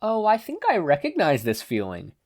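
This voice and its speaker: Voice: medium pitch, wildly swooping pitch, normal speed, a little mellow, dry recording, clean studio sound, voice pushed up. Teasing, knowing inner conscience, voiced by a reader. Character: wry, teasing inner conscience of a teenage boy.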